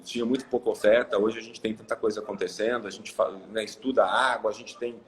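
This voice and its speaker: A man's voice: on the low side, fairly even intonation, fast, slight background noise, poor audio. A Brazilian man from Ribeirão Preto, talking casually as a guest on a live video call, rambling with many fillers and restarts. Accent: Brazilian Portuguese. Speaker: guest, coffee exporter.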